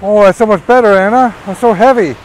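A man's voice: sits high, fairly quick, rough recording. A middle-aged father teasing his daughter and calling out from a few steps away from the phone on a windy beach.

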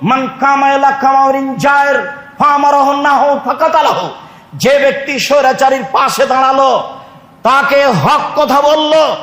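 A man's voice: extremely high pitch, fairly bright tone. A Bangladeshi man preaching an Islamic sermon in an emphatic, declamatory way to a congregation. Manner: emphatic, declamatory, with Arabic recitation. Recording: echoey hall with long reverb.

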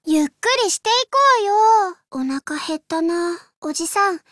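A woman voicing a gentle, polite young Japanese woman in a video game, with soft, girlish delivery in short reactive lines. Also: very high voice, moderate pace, no room sound, studio quality. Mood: playful, urging.

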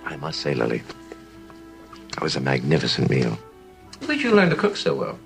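A man's voice: rumbling low, huge pitch swings, normal speed, vocal fry, a bit bright, faint music behind, full character voice. An actor playing a suave, manipulative, flirtatious man in an audio drama. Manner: suave, coaxing. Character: smooth-talking, manipulative, flirtatious male schemer.

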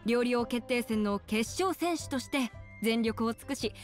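A woman's voice: on the high side, a little slow, soft background music, natural voice. A woman voicing a confident young female contest emcee, announcing formally in a Japanese anime game. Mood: confident, ceremonious.

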